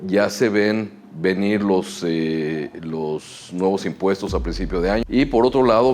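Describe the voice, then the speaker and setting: A middle-aged man from Mexico with a Mexican Spanish accent, answering interview questions in a measured way with frequent hesitations. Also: low-pitched. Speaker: chamber of commerce president.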